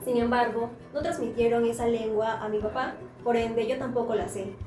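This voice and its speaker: Young female student, fairly high voice, measured pace of thought, roomy recording with music. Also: fairly even intonation. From Peru. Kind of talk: group discussion. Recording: echoey room with music.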